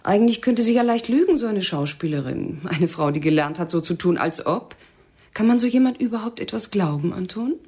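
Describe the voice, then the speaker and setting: A woman's voice: medium pitch, animated, fairly quick, darkish tone, slightly rough. An actress in a radio drama playing a cold, domineering female militant interrogator, stern and mocking. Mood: mocking suspicion.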